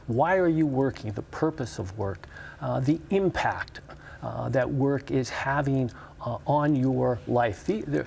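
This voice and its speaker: An American man, middle-aged or older, answering interview questions as a professor, thoughtfully and with halting, pause-filled phrasing. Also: mid-range voice, vocal fry, a little mellow, breathy edge. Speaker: labor professor and interview guest. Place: TV studio.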